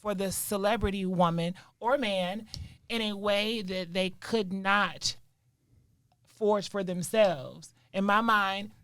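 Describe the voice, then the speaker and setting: A woman from Detroit talks as a guest on a podcast. She tells her story candidly and with emphasis. Her voice sits at a middle pitch and is recorded dry and close. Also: fairly bright tone, dry recording. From Detroit, Michigan. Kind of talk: casual podcast conversation.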